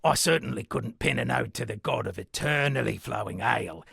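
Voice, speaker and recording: An actor voicing an eccentric washed-up sea captain and would-be poet in a video game, gravelly and husky, with theatrical, rambling, florid delivery. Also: male voice, medium pitch, a little fast, a bit bright, no echo, studio quality, full character voice. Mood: ominous, wry. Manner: theatrical, rambling, florid old seafaring turns of phrase. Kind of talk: video game character dialogue.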